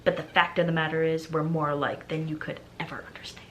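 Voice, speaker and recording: A brooding teenage boy with a dark secret, read aloud by a woman from a young-adult story. The delivery is earnest, cryptic and dramatic, in a slightly low voice. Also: little pitch movement, quick pace, a little hiss or hum, rough recording. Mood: brooding, intense.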